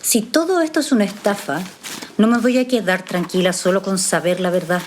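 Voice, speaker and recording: An actress playing a skeptical, resolute woman doctor in an audio drama, speaking in a measured, probing way. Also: medium pitch, darkish tone, slight background noise, close to an everyday voice. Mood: firm, warning.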